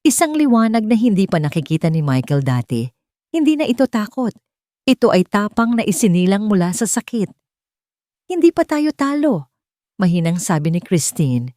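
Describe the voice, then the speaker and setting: A woman storyteller reading a scripted romantic drama in a solemn, emotive and deliberate manner. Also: medium pitch, sing-song, mellow, no echo, clean studio sound.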